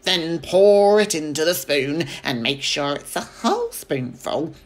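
A man voicing a wicked, snappish, bossy old grandmother in a storybook reading, shouting her words. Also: a little high, expressive, unhurried, pitched above the performer's own voice. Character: wicked, cantankerous, shrieking old woman, grandmother.

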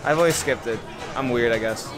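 A man's voice: mid-range voice, fairly quick. A young man in his twenties casually vlogging with an upbeat, chatty manner, holding the camera at arm's length in an indoor ship restaurant. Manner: upbeat, chatty, reads signs aloud. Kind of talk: casual travel vlog narration. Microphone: handheld camera at arm's length. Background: background music playing.

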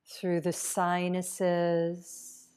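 Very slow, smooth woman guiding meditation. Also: lowish voice. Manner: calm, unhurried, with long pauses between phrases. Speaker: yoga nidra teacher. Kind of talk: guided meditation narration.

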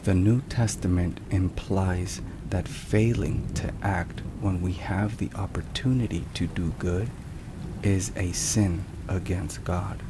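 Deep, slow male ASMR narrator over rain. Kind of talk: ASMR scripted devotional narration. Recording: rain and thunder noise. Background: thunderstorm and rain sounds.

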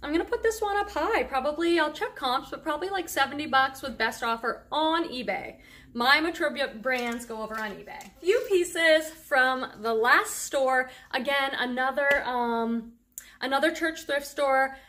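A woman's voice: high-pitched, normal speed, pushed and bright. A middle-aged woman with a faint Boston accent, chatting enthusiastically through a thrift haul as a reselling vlogger. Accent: Boston, on a handful of words. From Boston area, USA. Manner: chatty, enthusiastic about clothing finds. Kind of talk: casual haul vlog.

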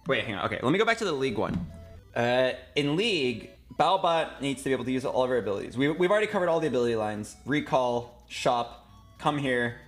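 A young man in his twenties talks casually, like a streamer coaching someone through a recording. His pitch sits in the middle of the range, and music plays softly underneath. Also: lively intonation, quick pace. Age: 20s. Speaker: streamer directing a voice recording session. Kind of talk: casual chat, giving directions. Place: indoor streaming room.

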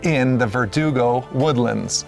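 A man's voice: mid-range voice, takes it slowly, gravelly, a little mellow. A man in his forties giving an upbeat, salesy presentation to camera as a real estate agent. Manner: enthusiastic, salesy. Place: house porch and empty wood-panelled rooms. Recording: roomy echo, music underneath. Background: background music.

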